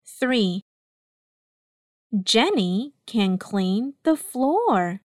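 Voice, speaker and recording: A woman reading an English listening test aloud with careful, clear delivery, saying each item twice. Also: fairly high pitch, big pitch swings, very slow, darkish tone, no echo, clean studio sound. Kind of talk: slow, deliberate test dictation.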